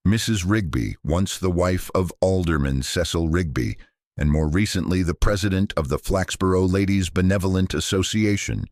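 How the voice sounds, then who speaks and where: A man reading scripted mystery fiction as narrator, in a measured, dry and understated way. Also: low-pitched, a little mellow, no echo. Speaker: narrator of a detective story.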